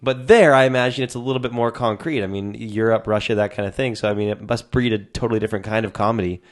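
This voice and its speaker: Fast-talking low-voiced young male host. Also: fairly even intonation. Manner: rambling, jokey, swears freely. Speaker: podcast host. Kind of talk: casual chat.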